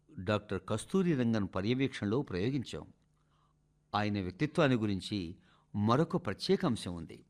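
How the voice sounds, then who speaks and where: A man reading a formal scripted speech for radio in measured, emphatic oratorical phrases. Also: mid-range voice.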